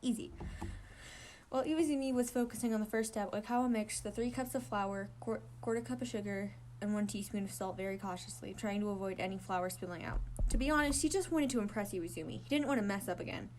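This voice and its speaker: A woman reading fan fiction aloud as an amateur narrator, giggly and animated, with casual asides. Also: mid-range voice, fast talker, hardly any pauses, a little hiss or hum.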